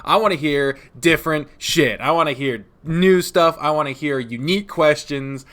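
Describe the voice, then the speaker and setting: Bright-voiced, excitable swearing host. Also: male voice, fairly high pitch, moderate pace. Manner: excitable, swears freely, laughs often. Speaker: interview host. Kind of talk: casual interview chat.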